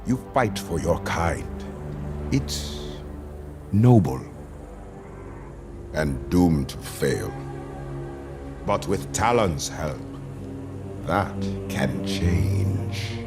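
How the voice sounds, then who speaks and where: An actor playing a proud, calm, menacing warlord villain in a video game. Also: male voice, very deep voice, extremely slow, pauses often, exaggerated voice. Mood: grave, persuasive. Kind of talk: dramatic video game voice acting. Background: music.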